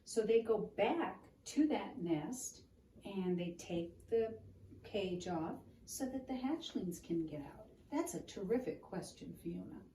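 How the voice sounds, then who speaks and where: A woman reading a picture book aloud to a child and explaining it in a gentle, teacherly way. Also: medium pitch, expressive, takes it slowly. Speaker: children's storytime reader. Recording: roomy, distant mic with room noise.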